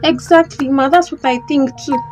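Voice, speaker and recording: High-voiced Nigerian young mother, acted by a woman. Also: normal speed, darkish tone, faint music behind, close to an everyday voice. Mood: grateful. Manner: deferential, repetitive, pleading. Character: distressed, grateful young mother, pleading daughter. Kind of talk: audio drama acting.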